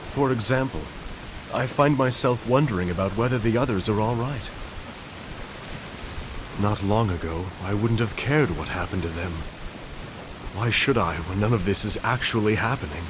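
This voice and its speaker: A gentle, melancholic young composer who is ill, played by a man, musing softly in a low, unhurried voice. The recording carries a steady hiss underneath. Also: natural voice. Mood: reflective. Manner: soft, reflective, searching delivery. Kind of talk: video game cutscene dialogue. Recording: hissy game audio capture.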